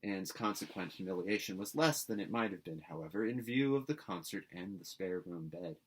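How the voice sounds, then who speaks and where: A man's voice: deep voice, moderate pace, slightly rough, rough recording. A man reading a classic novel aloud as narrator, in scripted storytelling prose.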